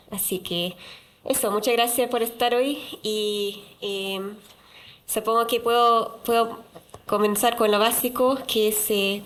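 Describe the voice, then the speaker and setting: A woman moderating a university panel in an auditorium, halting and full of filler sounds. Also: medium pitch, a bit bright.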